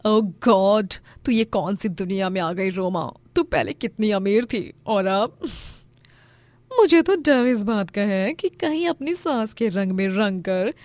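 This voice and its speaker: A snobbish young friend who draws out her mocking words, as a woman puts her on. The delivery is slow and scornful, recorded dry for a cartoon. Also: mid-range voice, lively intonation, darkish tone, no room sound.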